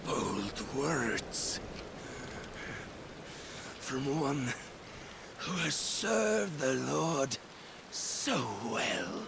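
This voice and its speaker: A man's voice: mid-range voice, sing-song, extremely slow, creaky, pauses often, very noisy, heavily acted. An actor playing a fanatical, taunting villain devoted to his god, theatrical and grandiose, in a dramatic fantasy game. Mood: mocking. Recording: loud music over the voice.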